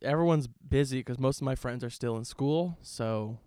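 A man's voice: medium pitch, normal speed, warm tone, clean tone. A young adult man in casual, bantering conversation as a podcast co-host. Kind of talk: casual banter and film chat.

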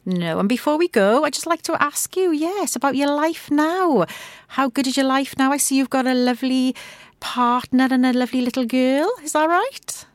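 A woman's voice: high-pitched, moderate pace, no room sound. Gushing woman interviewer, dry close sound. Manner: gushing, full of exclamations and tag questions. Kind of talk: chatty interview.

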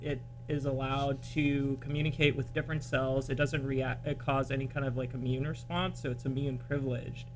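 A man speaks as an interview guest with a mid-pitched voice. He explains technical things in informal, slightly halting phrases. Soft guitar music plays behind him. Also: level tone, poor audio. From born in California, grew up partly in Australia. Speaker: podcast guest, biochemist. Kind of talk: casual interview, explaining work.

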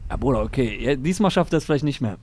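A man co-hosting a casual music show who reacts with animated slang and quoted inner thoughts. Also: very fast, no echo. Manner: animated, slangy, lively swings in pitch. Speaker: podcast co-host. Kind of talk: casual music review chat.

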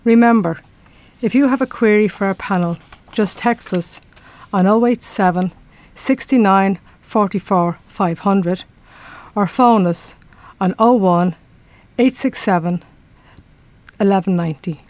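A woman's voice: mid-range voice, unhurried, pauses often, a little mellow, no room sound. A woman with an Irish accent reading a scripted announcement as a radio announcer. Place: radio studio.

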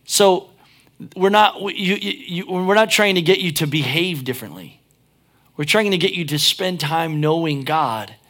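A man's voice: a little high, lively intonation, normal speed, fairly bright tone. A pastor preaching a sermon, chatting and joking, then driving his points home with emphatic peaks.

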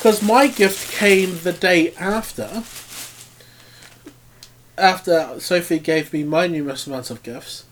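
A man co-hosting a podcast, halting and easily amused, often restarting his sentences. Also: high voice, slight background noise. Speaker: podcast co-host. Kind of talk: casual podcast banter.

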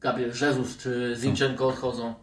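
A man hosting a casual football discussion in an animated, emphatic way. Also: medium pitch, moderate pace. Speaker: podcast host. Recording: roomy, distant mic with noise and clicks.

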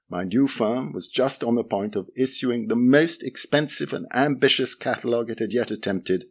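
Guy reading an old memoir out loud, lowish voice, dry and understated. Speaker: reader of a memoir chapter.

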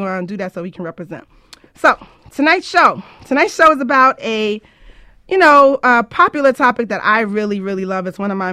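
A woman's voice: medium pitch, fairly bright tone, dry recording, studio quality. A woman hosting an informal internet radio show, chatting to listeners in an upbeat, emphatic way.

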